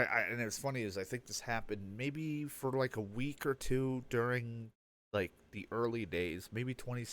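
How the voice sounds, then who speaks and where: A young man with a stuffed-up nose giving a candid personal update as a streamer, rambling and self-deprecating with many fillers and restarts. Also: mid-range voice.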